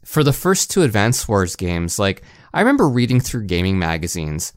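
Low-voiced man in dry, close podcast sound. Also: big pitch swings, moderate pace, dry recording. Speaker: podcast co-host. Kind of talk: casual gaming podcast chat.

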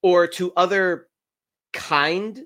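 A man from Germany, a YouTuber guest, talking casually in a remote interview, halting with many restarts and fillers. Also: high-pitched, a bit bright.

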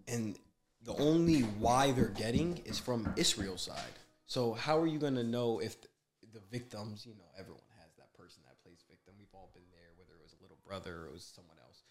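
A man in his twenties, a guest on a casual podcast, talking in a laid-back, slangy way and growing emphatic when he makes a point. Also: lowish voice. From USA. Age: 20s.

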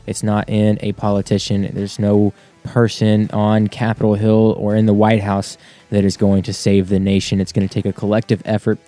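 A man's voice: fairly low pitch, monotone, moderate pace, dark tone, faint music behind. A young man introducing a podcast episode in an upbeat, earnest way. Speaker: podcast host.

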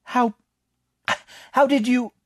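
A man voicing a frantic, scheming young female student who rambles in a high, pleading voice. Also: fairly even intonation, takes it slowly, dry recording, studio quality, full character voice. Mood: urgent.

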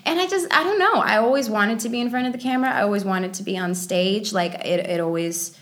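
A woman's voice: mid-range voice, quick pace, a bit bright. A woman in her twenties, a native Polish speaker, telling personal stories casually and informally as an actress being interviewed. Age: 20s. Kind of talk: casual chat, personal storytelling.

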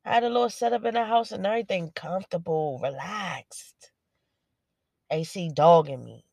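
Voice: medium pitch, moderate pace, creaky, a little mellow, no room sound, clean studio sound. Stuffy-nosed host talking in a car. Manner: rambling, profane, playful. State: stuffy nose. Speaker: podcast host. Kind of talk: casual solo podcast monologue. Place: inside a parked car at night. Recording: music playing behind the voice.

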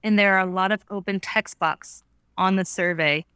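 A woman's voice: medium pitch, steady tone, normal speed, a bit bright, smooth, dry recording, studio quality. A woman speaking as a guest researcher in a casual chat, rambling and thinking aloud with many fillers. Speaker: podcast guest, survey researcher.

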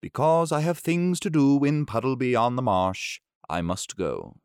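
A man's voice: medium pitch, unhurried, a little mellow, smooth, no room sound, studio quality, natural voice. A man voicing a kind, mild-mannered doctor in a storybook reading, hesitant and courteous, often murmuring. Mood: earnest.

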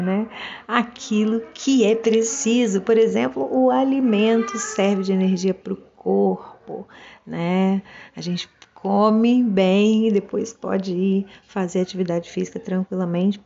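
A woman's voice: mid-range voice, creaky, dark tone, husky, lo-fi sound. A woman with a Brazilian Portuguese accent giving a casual, reflective monologue, musing and often checking for agreement with little tag questions.

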